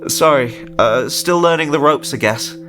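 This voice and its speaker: A man's voice: fairly high pitch, normal speed, music in the background, pitched above the performer's own voice, close to an everyday voice. Man doing a nervous, stammering young park worker. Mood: embarrassed. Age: young adult. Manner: hesitant, stammering, sheepish. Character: awkward, nervous young male park employee.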